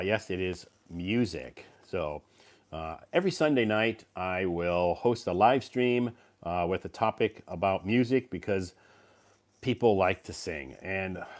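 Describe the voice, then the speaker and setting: Male live stream host, low voice, rambling and filler-heavy, slightly worn from a recent cold. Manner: rambling, many fillers and restarts. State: recovering from a cold. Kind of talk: casual live stream chat.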